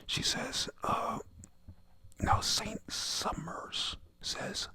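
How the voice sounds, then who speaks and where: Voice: drawn-out words, bright, forceful tone. A speaker whispering a casual recap of a comic in the style of ASMR, hesitant and stumbling over names.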